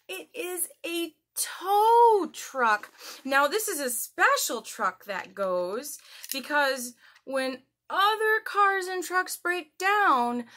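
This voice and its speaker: A woman's voice: a little high, fairly bright tone. A woman in her thirties presenting a children's story time, talking straight to young viewers with exaggerated, encouraging questions. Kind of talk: children's lesson, addressing young viewers directly. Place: small indoor room at home.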